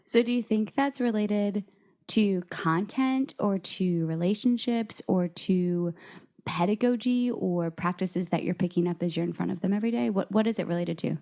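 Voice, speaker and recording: A woman's voice: medium pitch, normal speed, gravelly, warm tone. Chatty woman hosting a podcast. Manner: upbeat and chatty, addresses listeners as y'all. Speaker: podcast host.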